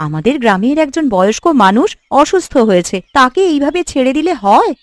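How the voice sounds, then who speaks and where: An actress voicing a caring, outspoken village housewife in a cartoon. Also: female voice, sits high, big pitch swings, brisk, dry recording. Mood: concerned. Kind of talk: cartoon voice acting.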